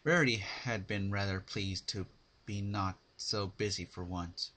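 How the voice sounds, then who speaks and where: A man giving a dramatic scripted story narration, phrased deliberately in short, halting chunks. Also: fairly low pitch, sharp tone.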